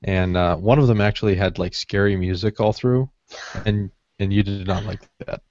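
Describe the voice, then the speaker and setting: A man's voice: fairly low pitch, steady tone, normal speed, darkish tone, no room sound, muffled recording. A man co-hosting a podcast in casual conversation. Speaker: podcast co-host and producer.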